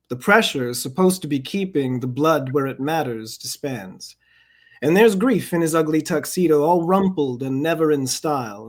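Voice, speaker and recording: A Canadian poet, a man with a slightly higher voice, is being interviewed. He chats loosely with fillers and restarts, then reads his verse in a slow, deliberate cadence. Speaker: poet and podcast guest. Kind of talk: conversational interview and poetry reading.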